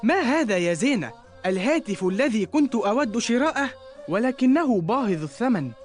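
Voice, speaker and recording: A woman plays an earnest young boy from a children's cartoon. The delivery is polite and very expressive, with a lively melody in formal Arabic and soft music behind. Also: a little high, brisk, close to an everyday voice. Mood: happy, grateful. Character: earnest, polite young schoolboy, easily downcast.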